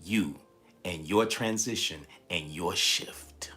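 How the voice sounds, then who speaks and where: A man hosting a motivational livestream, speaking emphatically and repeating phrases, his voice slightly raspy and tired. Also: deep voice, slow, bright, forceful tone, faint music behind. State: slightly raspy, tired voice from shouting the night before. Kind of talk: motivational livestream talk, preaching-like.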